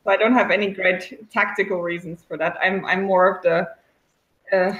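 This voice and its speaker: A woman's voice: mid-range voice, steady tone, normal speed, reverberant, highs cut off. A woman from Germany who works as a liaison between players and developers, answering interview questions in a chatty, giggly way with many fillers. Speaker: game developer community liaison.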